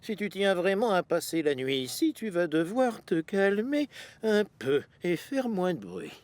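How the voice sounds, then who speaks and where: Grouchy, sleepy male train for kids' animation: mid-to-high voice, animated, sardonic. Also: a little slow, dry recording. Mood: grumpy, drowsy. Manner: sardonic grumbling. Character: grumpy, sleepy, proud male steam locomotive. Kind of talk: children's cartoon dub.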